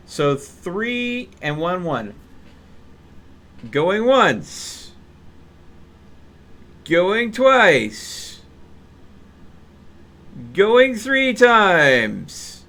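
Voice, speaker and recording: A man's voice: sits high, sharp tone. A man live-streaming a game, chatting casually with viewers and thinking aloud.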